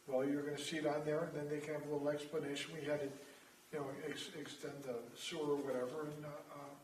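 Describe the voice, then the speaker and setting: A man calmly explaining suggestions at a village meeting. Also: on the high side, a little hiss or hum. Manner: calm, explanatory, measured. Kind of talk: reasoned public comment. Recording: roomy sound, mic far off.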